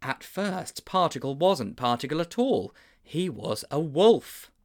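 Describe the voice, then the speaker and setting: A man's voice: on the high side, very animated, takes it slowly, voice pushed up, exaggerated voice. A man voicing an earnest, slightly pompous schoolboy with precise, formal phrasing in an audiobook. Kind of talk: audiobook character voice.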